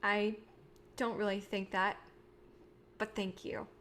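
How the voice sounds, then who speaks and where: A woman playing an earnest, idealistic female filmmaker in a staged play reading, speaking in a sincere, measured way. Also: medium pitch, level tone, fairly bright tone, a little hiss or hum. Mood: gracious, modest.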